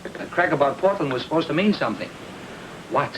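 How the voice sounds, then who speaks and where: High-voiced, slangy wisecracking counterman. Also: sing-song, brisk, fairly bright tone, rough recording. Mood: wary, cagey. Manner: slangy showman's patter. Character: wisecracking ex-vaudeville male lunch counter proprietor, breezy and cagey. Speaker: actor playing a lunch counter owner. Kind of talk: 1940s film noir acting. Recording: old film soundtrack with faint noise.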